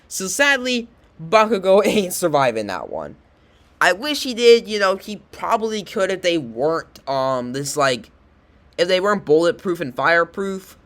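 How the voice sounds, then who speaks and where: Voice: mid-range voice, sing-song, normal speed, a bit bright. A host giving casual video commentary in a rambling way, often repeating and self-correcting.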